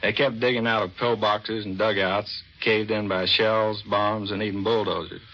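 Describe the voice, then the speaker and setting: A man's voice: lowish voice, steady tone, moderate pace. An American man, a navy commander, giving a plain, matter-of-fact scripted interview on 1940s radio. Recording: dull vintage 1940s radio recording.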